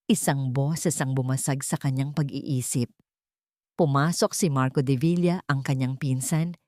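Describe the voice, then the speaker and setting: Female narrator, Filipino accent, deep register, lively melodic delivery for an audio drama. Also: dry recording, clean studio sound. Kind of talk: expressive scripted storytelling.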